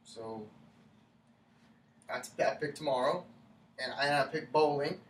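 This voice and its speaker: A man reading the part of a sheepish, defensive young man in a staged play, halting and repeating himself. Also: medium pitch, lightly acted. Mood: insistent. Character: Chad, hapless, sheepish young man defending his awful date. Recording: echoey room from far off, faint noise.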